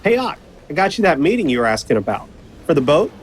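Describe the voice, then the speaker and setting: A man's voice: on the high side, very animated, fast talker, slight background noise. An actor playing an idealistic, opinionated young man in an audio drama, earnest and emphatic. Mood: casual.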